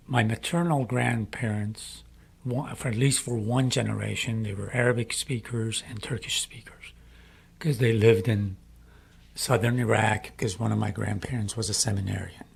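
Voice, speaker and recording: A middle-aged man from Iran with an Iranian accent, speaking English as a second language, making a slow, earnest inspirational appeal. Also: on the low side, gravelly, slightly rough. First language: Farsi. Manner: slow, deliberate, emphatic phrasing. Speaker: speaker addressing young people.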